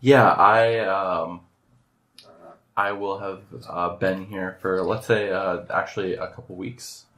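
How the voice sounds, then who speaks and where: A man speaking as a player in a tabletop game, slow and hesitant. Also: low voice, steady tone, sharp tone. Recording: echoey room with faint noise.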